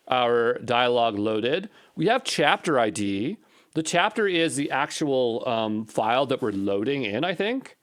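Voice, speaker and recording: A man giving an unscripted coding walkthrough as an instructor, thinking aloud in a tentative, musing way and questioning himself. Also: mid-range voice. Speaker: programming instructor.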